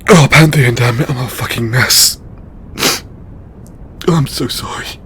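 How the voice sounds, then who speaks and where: An actor playing a distraught, overburdened god in an emotional audio roleplay, a man speaking tearfully and haltingly with exasperated swearing. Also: mid-range voice, a bit bright, a little hiss or hum, natural voice. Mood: apologetic, tearful. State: crying. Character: distraught, overburdened male god venting to his devotee.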